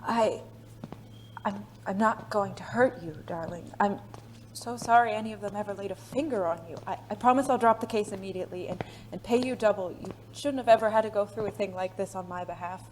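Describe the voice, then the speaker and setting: A woman playing a refined, secretive former spy in an audio drama, speaking formally and haltingly, with endearments. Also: medium pitch, a little mellow, a little hiss or hum, lightly acted. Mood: tender, anxious.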